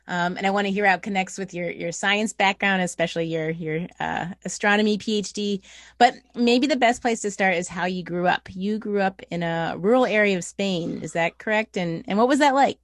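A woman's voice: mid-range voice, brisk, no echo. Female podcast interviewer, dry close sound. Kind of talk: conversational interview.

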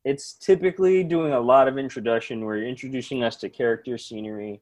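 A young man co-hosting a casual chat about a TV show, measured and thoughtful, with easy laughs. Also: mid-range voice, darkish tone. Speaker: podcast co-host. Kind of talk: casual chat reviewing a TV episode.

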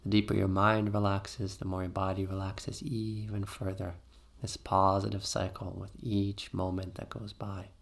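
A man with a fairly low voice leads a guided meditation. He gives soothing, gentle instructions and leaves pauses between phrases. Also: level tone, creaky.

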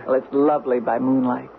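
An actress playing a curious, affectionate wife on vacation in a radio drama, speaking expressively and inquisitively. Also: female voice, very deep voice, vocal fry, full character voice. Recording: tinny, noisy old radio recording.

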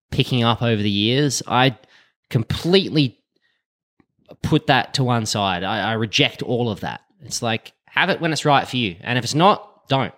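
A man's voice: mid-range voice, fairly bright tone. A man in his late thirties chatting casually as a co-host, blunt and joking, swearing freely. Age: late 30s. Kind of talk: casual chat.

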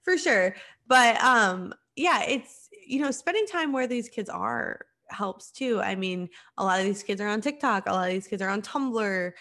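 A woman's voice: mid-range voice, animated, normal speed, hardly any pauses, fairly bright tone. A writer in her thirties from the American Midwest, talking candidly in a casual chat. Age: 30s. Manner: candid, chatty, laughing asides.

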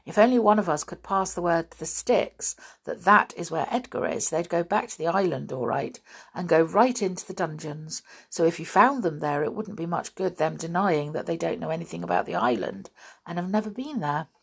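A woman's voice: on the low side, lively intonation, moderate pace, gravelly, slightly rough, exaggerated voice. A woman voicing a sensible, confident boy who leads a group in a children's story. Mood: eager, planning.

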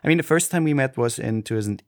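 A man's voice: mid-range voice, animated, fast talker, no room sound, clean studio sound. A man from Austria with an Austrian German accent, co-hosting a casual podcast chat and often restarting as he searches for words. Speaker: podcast co-host.

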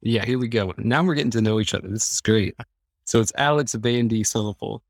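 A man's voice: on the low side, brisk, creaky, no echo. A man with a Midwestern American accent, chatting and telling personal stories as a podcast guest in an easygoing, joking way. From Iowa, American Midwest. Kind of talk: casual chat, personal storytelling.

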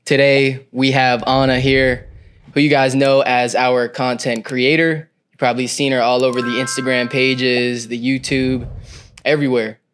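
A man's voice: mid-range voice, little pitch movement, normal speed, fairly bright tone, slight background noise. A man hosting a podcast, introducing his guest in a loose, casual way with a few fillers. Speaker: podcast host.

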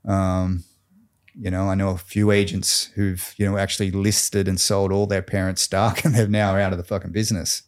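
A man playing a boastful, crude real estate agent of about forty with an American accent, deadpan and halting in an improvised mock interview. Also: sits low, close to an everyday voice. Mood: smug, crude. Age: around 40. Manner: cocky, deadpan, swearing, halting.

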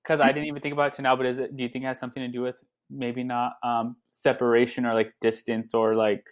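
A woman's voice: very deep voice, level tone, fast. A woman in casual chat, friendly and questioning, with lots of fillers.

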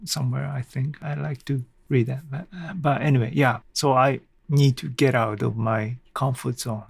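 Male solo podcaster, gravelly and dry-sounding, hesitant off-the-cuff rambling. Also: medium pitch, fairly even intonation, dry recording. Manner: hesitant, rambling, halting with small self-deprecating chuckles. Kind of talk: casual unscripted monologue.

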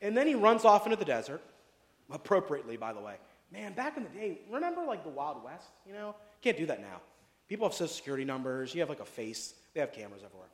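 A pastor preaching a casual sermon, chatty and jokey, full of asides and questions to the congregation. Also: male voice, a little high, very animated, fast talker. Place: church sanctuary. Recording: echoey hall with long reverb.

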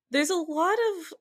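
A woman's voice: extremely high pitch, fairly bright tone. A woman co-hosting a casual podcast chat, often chuckling as she talks. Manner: giggly, chuckles while talking. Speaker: podcast co-host discussing anime.

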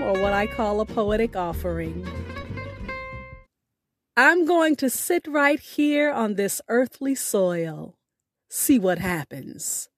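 A woman with a notably high voice hosts a podcast over soft music. She takes her time, stretching key words for emphasis. Her delivery is expressive and deliberate, like a prepared reading. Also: no echo, clean studio sound. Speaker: podcast host. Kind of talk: scripted podcast sign-off with a recited poem.

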